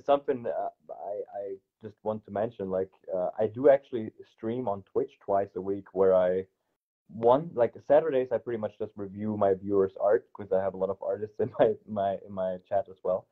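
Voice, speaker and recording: Young man, German accent, low-ish, halting, fillers; tinny video-call audio. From South Tyrol, Italy. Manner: hesitant, many fillers and restarts. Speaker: artist guest. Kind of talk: casual interview over video call. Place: small indoor room, sofa. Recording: phone-like narrow audio.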